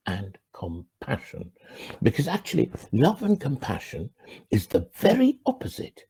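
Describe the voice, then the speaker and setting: A middle-aged man from Ghana, hosting his channel with an earnest, reflective monologue that swings from emphatic rises to quiet, low endings. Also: creaky, husky. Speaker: channel host.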